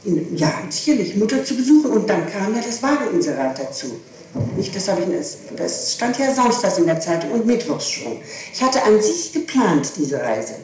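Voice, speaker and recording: A woman's voice: mid-range voice, big pitch swings, normal speed, darkish tone. A woman gives testimony under questioning, precise and insistent about details. She sounds distant in a heavily reverberant room, on an archival tape with faint noise. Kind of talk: police interrogation testimony. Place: reverberant room, far from the microphone. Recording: echoey, distant, noisy archival tape recording.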